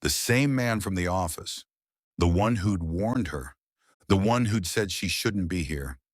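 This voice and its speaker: A man reading a psychological thriller audiobook with tense, dramatic pauses. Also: sits low, lively intonation, no room sound, clean studio sound. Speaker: audiobook narrator. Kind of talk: scripted thriller narration.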